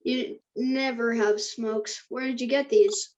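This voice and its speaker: A woman's voice: fairly high pitch, steady tone, slow, a little mellow, highs cut off. A woman playing a confident, enterprising teenage boy in a screenplay table read. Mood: curious, friendly.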